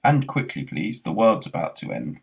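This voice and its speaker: A man's voice: sits low, very animated, moderate pace, creaky, full character voice. Man acting brusque male space traveller, curt, emphatic swings, storybook character. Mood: urgent. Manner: curt, matter-of-fact. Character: brusque, urgent, unflappable male space hitchhiker.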